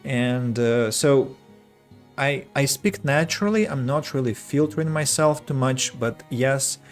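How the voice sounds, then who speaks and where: A young adult man with a Russian accent talks unhurriedly while playing a game on stream, often stopping to restart a phrase. His voice sits at an ordinary middle pitch, and faint game music plays underneath. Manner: slow, careful wording with frequent restarts. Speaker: streamer and English teacher. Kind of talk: casual gameplay streaming chat.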